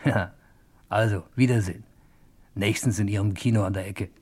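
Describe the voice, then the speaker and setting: A man's voice: on the low side, fairly even intonation, moderate pace, darkish tone, a little hiss or hum, natural voice. An actor playing a sly, chummy male crook who narrates his tale confidentially in a 1950s German radio comedy. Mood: wry, confiding. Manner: confidential, wry, conversational.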